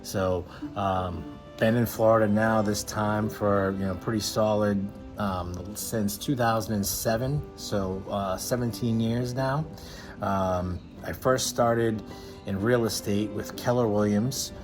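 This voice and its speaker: Man in his forties, low monotone voice, rambling with fillers, music playing underneath. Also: slow. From Massachusetts. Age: 40s. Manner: rambling, many fillers and restarts. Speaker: real estate agent. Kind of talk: casual self-introduction.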